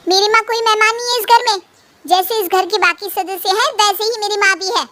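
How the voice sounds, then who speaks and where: A woman voicing a young wife who defends her mother indignantly in an animated family story. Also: very high voice, a little fast, heavy background noise, voice pushed up. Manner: indignant and pleading.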